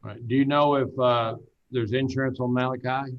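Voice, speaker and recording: A man's voice: medium pitch, flat delivery, a little hiss or hum. Male judge over dull lo-fi audio. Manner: measured and procedural, with "uh" hesitations. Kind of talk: presiding over a hearing on a video call.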